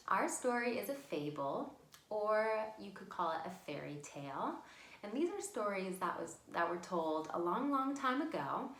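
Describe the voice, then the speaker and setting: A woman's voice: on the low side, fairly bright tone. Echoey woman hosting children's storytime. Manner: encouraging, addresses children directly with simple instructions. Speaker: children's library storytime host. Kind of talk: interactive storytime for young children. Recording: echoey room sound.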